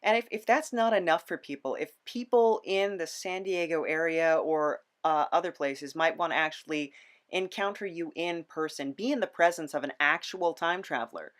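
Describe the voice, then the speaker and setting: Woman, slightly low pitch, casual podcast host, conversational interview style. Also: moderate pace.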